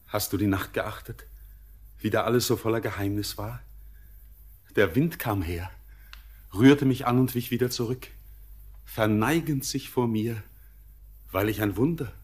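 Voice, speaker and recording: An actor playing an entranced, doomed young bridegroom in a classic German radio drama, declaiming solemn poetry in a visionary manner. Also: male voice, fairly low pitch, breathy edge, full character voice.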